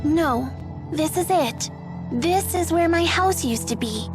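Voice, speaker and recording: A woman voicing a shy, gentle little orphan girl in an anime game, with a hesitant manner. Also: very high voice, animated, slow, exaggerated voice. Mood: quiet resolve. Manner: soft-hearted, hesitant. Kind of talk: anime game dub acting. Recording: music behind.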